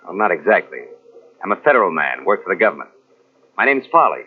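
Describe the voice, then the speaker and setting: An actor playing a smooth-talking crook who poses as a government agent in an old-time radio drama, breezy, ingratiating and confiding. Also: male voice, sits low, big pitch swings, fast, soft background music, heavily acted. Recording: tinny old radio recording from cassette.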